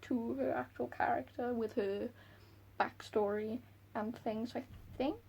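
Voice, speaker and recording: A woman's voice: fairly high pitch, fairly even intonation, a little slow, gravelly, a little mellow, a little hiss or hum. A young woman with a Welsh accent chatting to camera about books at home, giggly and self-deprecating. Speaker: book vlogger. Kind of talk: casual chat to camera. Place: room at home by a window.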